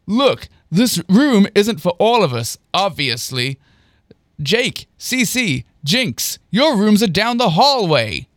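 A man's voice: sits high, very animated, normal speed, fairly bright tone, no echo, clean studio sound, voice pushed up. A man voicing a flamboyant, smug young enthusiast in a story, declaiming grandly. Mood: irritated, defensive. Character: Andy, flamboyant young man, proud, manic, bossy enthusiast.

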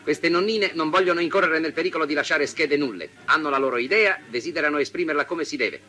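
Male 1940s newsreel announcer, declamatory and quick, higher-pitched, old soundtrack. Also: pushed and bright, soft background music. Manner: declamatory, formal. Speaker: newsreel narrator. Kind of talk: 1940s Italian newsreel narration, scripted and rhetorical.

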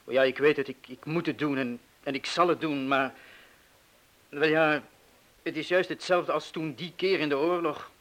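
A man acting in a radio play as a guilt-ridden, fearful middle-aged crook, speaking in a halting, confessional way. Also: fairly high pitch, fairly even intonation, fairly bright tone, natural voice. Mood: anxious, guilt-ridden.

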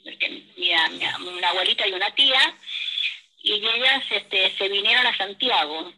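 An older woman from Chile with a Chilean accent, a guest in a radio interview who speaks hesitantly with many fillers and soft laughs. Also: mid-range voice, animated, moderate pace, low-quality recording.

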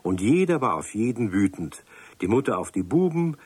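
Man narrating a kids' radio play, husky, lower voice, very lively. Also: moderate pace, dry recording. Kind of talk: storytelling narration for children.

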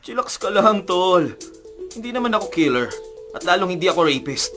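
A man's voice: high voice, very animated, normal speed, a bit bright, soft background music. An actor playing a cocky, mischievous young male college student in a radio drama, brash and taunting. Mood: gloating.

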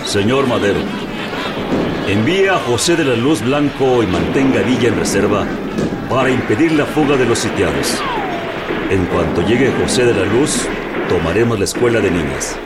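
A Mexican man acting as a brisk, commanding revolutionary general in a radio drama, with clipped military authority. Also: medium pitch, expressive, loud music behind the voice, close to an everyday voice.

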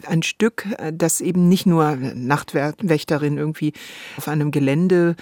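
A woman's voice: sits low, measured pace, darkish tone, no echo. Woman talking thoughtfully, close dry sound. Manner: thoughtful, searching for words mid-sentence. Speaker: podcast co-host. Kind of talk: unscripted conversation about radio plays.

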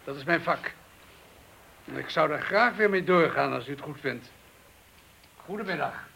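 A formal, gravelly-voiced professional. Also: male voice, on the high side, moderate pace, bright, forceful tone, a little hiss or hum. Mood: measured. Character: calm man who calls himself a servant of life.

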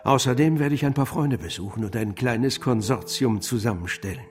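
An actor playing an eager, loyal gentleman investor in a German radio drama, earnest, formal and admiring. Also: mid-range voice, lively intonation, moderate pace, faint music behind, natural voice.

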